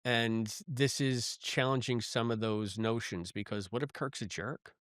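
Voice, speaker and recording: A man's voice: mid-range voice, steady tone, a little slow, a bit bright, dry recording. Male podcast co-host, dry close sound. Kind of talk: casual review chat about a science fiction audio drama.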